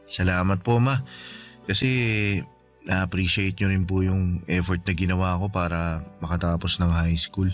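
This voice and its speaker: A humble teenage son, played by a man, talks in a fairly low voice with faint music underneath. He is respectful, bashful and earnest, as in a radio drama. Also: steady tone, moderate pace, pauses often, natural voice. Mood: grateful, earnest. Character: humble, dutiful teenage son fresh out of high school. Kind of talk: Tagalog radio drama dialogue. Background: background music.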